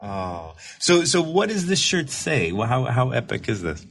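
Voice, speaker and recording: Male recovery mentor, casual and supportive, animated pitch, stammers and restarts. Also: a little slow, vocal fry. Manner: laid-back, stammering restarts, laughs easily.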